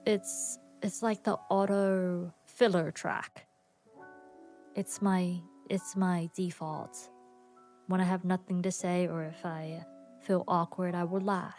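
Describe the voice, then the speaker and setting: A tired woman hosts a casual solo podcast in a slow, unhurried ramble. Her voice has a gravelly fry, with soft music playing faintly underneath. She laughs often, pauses a lot and fills the gaps with ums. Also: fairly low pitch, flat delivery. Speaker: podcast host. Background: soft background music.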